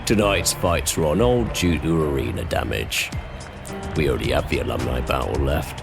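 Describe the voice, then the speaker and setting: A man voicing a gruff, brutish male pig-man who runs an arena, talking in coarse, mocking slang. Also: very deep voice, moderate pace. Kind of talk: audio drama roleplay. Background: background music.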